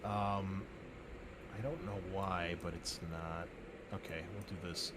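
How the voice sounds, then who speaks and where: A man acting as host and game master of a tabletop roleplaying game, explaining the scene in a relaxed way with many fillers. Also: low-pitched, takes it slowly, background noise. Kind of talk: running a tabletop game session.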